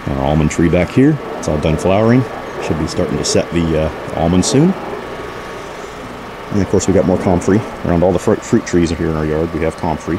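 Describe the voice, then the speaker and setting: A man's voice: sits low, fairly quick. A man giving a casual, unscripted walk-through commentary outdoors, informally pointing things out as a vlog host. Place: outdoors in a backyard garden. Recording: outdoor camera audio with clear background noise.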